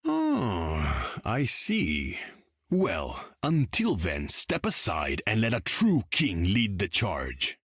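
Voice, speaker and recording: A man's voice: fairly low pitch, wildly swooping pitch, extremely slow, fairly bright tone, husky, no echo, studio quality, full character voice. An actor playing a proud, imperious undersea king in a video game, speaking in a pompous, formal way. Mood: superior, mocking. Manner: pompous, grandiose, formal speech. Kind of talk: video game character dialogue.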